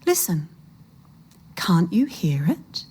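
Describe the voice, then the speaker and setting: A woman reading the part of an uncanny old grandmother in a story, soft-spoken, pleasant and quietly menacing. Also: lowish voice, sing-song, slow, breathy edge, exaggerated voice. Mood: eerily indulgent.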